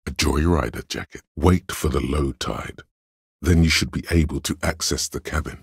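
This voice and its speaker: Very deep, gravelly inner voice of logic. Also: male voice, dry recording, studio quality, full character voice. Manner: deliberate, clipped, didactic. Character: cold analytical inner voice of logic, a man's. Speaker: voice actor. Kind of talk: dramatic second-person game narration.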